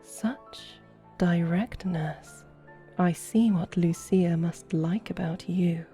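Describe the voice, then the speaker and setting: A woman playing a courtly, flirtatious vampire in an ASMR roleplay, speaking softly with a teasing, formal, elegant manner. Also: fairly low pitch, slow pace, soft background music. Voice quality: soft, breathy. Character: flirtatious, courtly female vampire guiding a guest.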